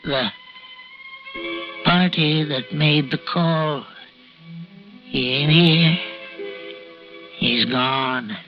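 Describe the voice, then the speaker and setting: An actor playing a vengeful, ailing widower in a 1940s radio drama, with a halting, folksy, muttering manner that repeats itself. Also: male voice, a little high. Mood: evasive, confused. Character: vengeful, rambling widower with a failing heart. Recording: dull vintage radio recording, faint music.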